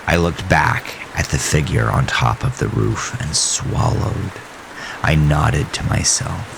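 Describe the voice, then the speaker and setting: A man narrating a first-person horror story in a deliberate, suspenseful, dramatic way with a gravelly voice. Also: very deep voice, animated. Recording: rain and storm noise behind voice. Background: rain, storm ambience.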